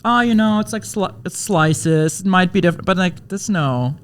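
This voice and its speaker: A man's voice: high-pitched, normal speed. A man from Bosnia chatting casually as an agreeable podcast guest. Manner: agreeable, frequently chiming in. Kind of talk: casual chat. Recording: faint background noise and ambience.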